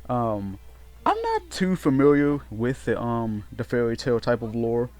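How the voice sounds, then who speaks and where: Guy doing a casual let's play, keeps restarting his sentences, medium pitch, music playing behind him. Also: very animated, a little mellow. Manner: halting, repetitive, self-correcting. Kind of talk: casual unscripted game commentary. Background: game music.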